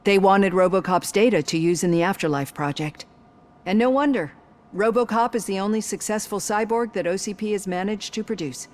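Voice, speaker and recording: An actress playing a composed, knowledgeable technical expert in a video game, explaining matter-of-factly. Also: female voice, mid-range voice, a little fast, a little mellow, lightly acted. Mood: serious. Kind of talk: video game cutscene acting.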